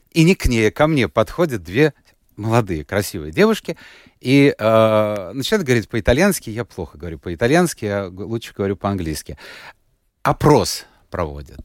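A man with a fairly low voice chats loosely through an interview, often stopping and restarting his sentences. He is recorded close and dry, with no room sound. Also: no echo. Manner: rambling, with many fillers and restarts. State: unwell, recovering. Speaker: radio host, guest here. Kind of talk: relaxed conversational interview.

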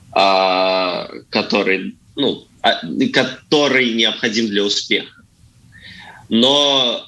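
Young man, fairly low pitch, crisp forceful brightness, deliberate halting phrases, panel guest. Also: poor audio. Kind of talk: considered spoken answer.

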